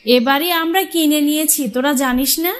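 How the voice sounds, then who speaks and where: A woman's voice: high-pitched, moderate pace, lightly acted. A woman voicing a stubborn, scornful elder sister-in-law in a village moral cartoon, taunting and combative. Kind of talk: Bengali moral-story cartoon dubbing.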